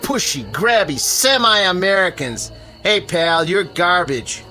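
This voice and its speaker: Bigoted mob-leading neighbour, belligerent, urgent, highish forceful voice, radio drama. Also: male voice, expressive, slow pace, soft background music, exaggerated voice. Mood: menacing. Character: hot-headed bigoted suburban man turned panicky mob leader.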